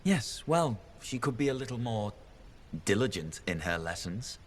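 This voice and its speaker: An actor playing a prissy, self-important gentleman in a video game, haughty and pompous. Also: medium pitch, big pitch swings, normal speed, slight background noise, close to an everyday voice. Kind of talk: video game character dialogue.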